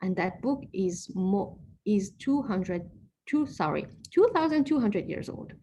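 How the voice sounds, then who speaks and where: A woman speaker at a middle pitch gives an earnest, explanatory presentation, pausing on fillers and correcting herself mid-sentence. A faint hiss of background noise sits under her voice. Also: animated, warm tone. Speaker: acupuncturist giving an online presentation. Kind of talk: informal lecture with slides.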